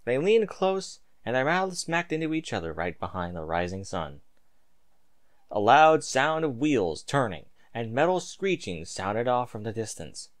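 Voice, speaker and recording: A man's voice: medium pitch, very animated, a little slow. A man reading a story aloud in a theatrical, emphatic amateur narrating style.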